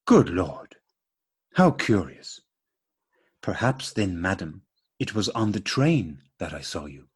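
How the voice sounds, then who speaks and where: A man playing a courteous, puzzled gentleman with formal, mock-astonished delivery in an absurdist radio play. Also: sits low, sing-song, slow, long pauses, husky, heavily acted. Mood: mock astonishment. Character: courteous, puzzled English gentleman meeting a lady. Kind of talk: absurdist stage dialogue read as radio play.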